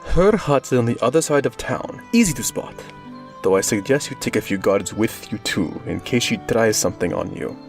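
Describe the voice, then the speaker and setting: A man playing a roguish, courteous young orc guard captain in a fantasy audio drama, smooth and confident. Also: medium pitch, expressive, moderate pace, darkish tone, faint music behind, lightly acted.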